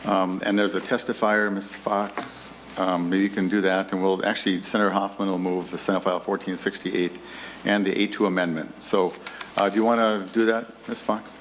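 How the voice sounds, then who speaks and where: A man chairing a legislative committee hearing, moving briskly through testimony and hurrying speakers to keep to time. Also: low voice, flat delivery, a little hiss or hum. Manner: brisk, hurries testifiers along.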